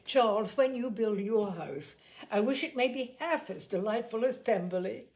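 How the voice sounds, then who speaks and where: A haughty young society lady played by a woman narrator, high and wildly swooping in pitch. She is snobbish and emphatic, leaning on her words with exaggerated scorn and gush, as in a classic novel read aloud. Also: lively intonation, moderate pace, a little mellow. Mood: effusive, flattering. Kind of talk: audiobook character acting.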